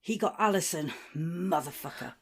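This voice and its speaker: A woman's voice: on the low side, normal speed, husky. An actress playing a blunt, authoritative woman who leads survivors in a zombie audio drama. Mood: grim, regretful.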